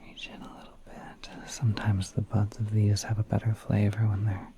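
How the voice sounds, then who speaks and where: A man playing a softly whispering, gentle spider prince. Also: lowish voice, completely flat delivery, natural voice. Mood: soothing, hospitable. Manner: soft-spoken, unhurried, polite, musing. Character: gentle, courteous male spider prince, relaxed and hospitable. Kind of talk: whispered ASMR roleplay.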